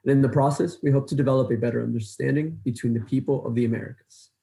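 Mellow young man in an echoey room. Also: medium pitch, steady tone, quick pace, vocal fry. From Ecuador, raised in Los Angeles. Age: 20s. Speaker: podcast co-host. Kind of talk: scripted intro then casual chat.

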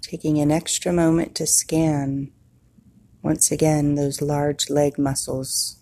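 A woman guides a meditation in a low, husky voice with a gravelly creak at the ends of phrases. She speaks very slowly and soothingly, leaving long pauses between short instructions. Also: little pitch movement, warm tone.

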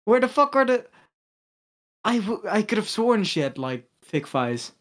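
A teenage boy co-hosting a podcast over a video call, excitable, swearing and restarting his sentences. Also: high voice, unhurried. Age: teens. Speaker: podcast co-host. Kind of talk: casual chat.

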